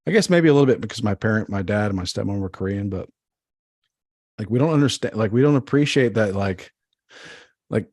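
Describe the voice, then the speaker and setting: A man's voice: lowish voice, expressive, a little fast, pauses often, darkish tone, no room sound, studio quality. A man co-hosting a podcast, rambling in casual chat full of fillers. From United States. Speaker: podcast co-host.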